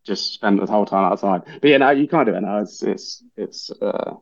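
A man's voice: on the low side, brisk, gravelly, no room sound, muffled recording. A man from England whose accent has picked up some Australian twang, a chef chatting casually as a podcast guest and laughing easily. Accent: English with some Australian twang. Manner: relaxed, rambling, many fillers, laughs easily. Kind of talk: casual interview chat.

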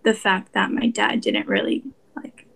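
A woman's voice: mid-range voice, big pitch swings, measured pace, vocal fry, fairly bright tone. A young woman answering interview questions about her heritage, speaking casually and filling her sentences with "like". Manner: casual, heavy use of "like". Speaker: interview guest, daughter.

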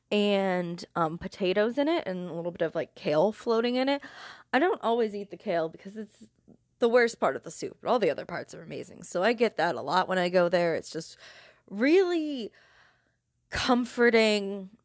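A woman's voice: medium pitch, no room sound, studio quality. A woman with a head cold, hosting her own podcast in a casual, rambling chat with lots of fillers and restarts. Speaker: podcast host.

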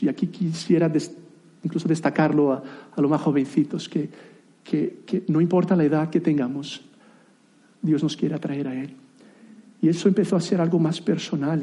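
Mellow-voiced man in his thirties preaching. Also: fairly high pitch. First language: Portuguese. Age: 30s. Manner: conversational, self-deprecating asides, frequent restarts. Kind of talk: sermon.

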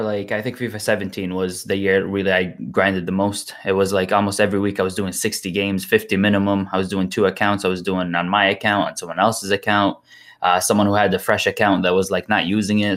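A young man with a low, easygoing voice, a guest on a gaming podcast. He rambles loosely through his answers and laughs easily. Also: fairly even intonation, a little fast, a bit bright. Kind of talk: casual podcast chat.

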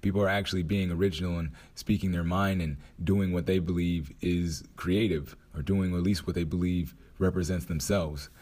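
A man hosting his own podcast, hoarse after a night out, talking casually and thinking aloud with frequent restarts. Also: low-pitched, fairly even intonation, moderate pace, few pauses, darkish tone. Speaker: podcast host. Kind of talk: casual motivational monologue.

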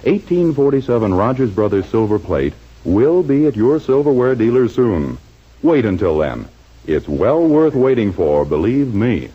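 Animated American radio announcer, muffled vintage broadcast. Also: male voice, medium pitch, normal speed, husky. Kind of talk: 1940s radio scripted announcing. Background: loud music.

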